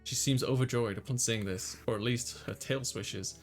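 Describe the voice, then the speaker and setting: A man voicing an easygoing young student who narrates a visual novel in the first person, measured and conversational. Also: medium pitch, faint music behind, natural voice. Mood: descriptive.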